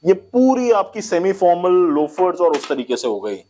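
Guy in his 30s doing a polite sales pitch in a shoe showroom, voice a bit high, lapel mic. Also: big pitch swings, normal speed, warm tone, a little hiss or hum. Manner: polite salesman patter, repeats selling points. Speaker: shoe factory representative. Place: shoe showroom and warehouse, indoors. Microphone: clip-on lapel mic.

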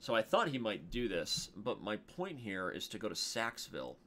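A man in his thirties streaming from a room on a headset mic, commenting on his own game with excitable, jokey asides while working out moves aloud. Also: on the low side, moderate pace. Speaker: chess streamer. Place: indoor home streaming room. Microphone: headset microphone.

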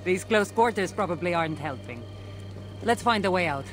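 A woman's voice: fairly low pitch, lively intonation, normal speed, lightly acted. Woman acting a wry, worldly Greek warrior woman, music behind. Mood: teasing. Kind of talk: video game cutscene acting. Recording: game audio with music behind. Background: game soundtrack music.

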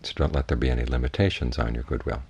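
A man teaching in a guided meditation, giving measured, unhurried instructions with long pauses. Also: a bass voice, creaky, darkish tone, slightly rough. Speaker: Buddhist monk, meditation teacher.

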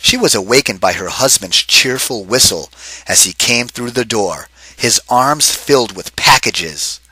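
Bright-voiced man reading to kids. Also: mid-range voice, lively intonation, measured pace, slightly rough, dry recording, rough recording. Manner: asks the kids questions between pages. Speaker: teacher reading aloud. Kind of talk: interactive read-aloud for children.

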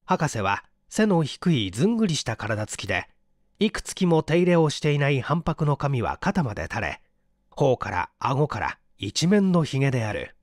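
A man reading a story aloud as an audiobook narrator, in an expressive scripted delivery. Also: mid-range voice, moderate pace, dry recording, studio quality.

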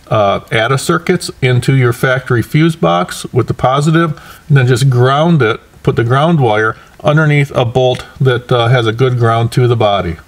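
An American man in his fifties hosts a hands-on how-to in a medium-pitched voice. He is plain-spoken and practical, explaining each step in conversational language. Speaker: host of a DIY vehicle install channel.

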